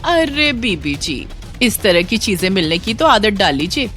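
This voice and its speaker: A woman's voice: medium pitch, big pitch swings, a little slow, a bit bright, soft background music. A woman voicing a blunt, knowing household maid with a drawling, insinuating manner in a moral story.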